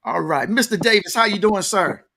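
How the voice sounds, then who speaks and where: A man with a fairly high-pitched voice hosts a casual interview. He talks in a folksy, hyping way and likes to repeat words for emphasis. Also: lively intonation, brisk, creaky, bright, forceful tone. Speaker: interview show host. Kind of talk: casual talk-show interviewing.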